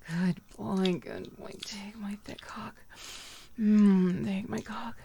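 A woman performing a dominant, teasing audio roleplay, speaking in soft whispers broken by low murmurs. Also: fairly even intonation, slow, a little hiss or hum.

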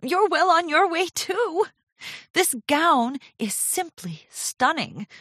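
A woman's voice: extremely high pitch, big pitch swings, slow pace, fairly bright tone, no echo, clean studio sound, pitched above the performer's own voice, full character voice. A woman voicing a glamorous, self-assured female pony fashion designer in a storybook, with a grand, affected, dramatic manner. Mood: touched, warm.

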